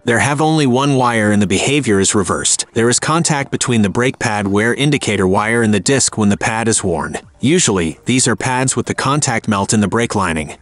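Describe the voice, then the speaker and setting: Man calmly narrating a car repair. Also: lowish voice, fairly quick, hardly any pauses. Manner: calm, step-by-step explaining. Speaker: car repair video host. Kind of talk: scripted how-to voice-over.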